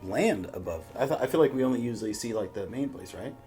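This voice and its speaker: A man chats casually as host of a reaction show. His voice sits somewhat low and he rambles in slang, with soft music in the background. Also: animated, quick pace. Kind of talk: casual chat.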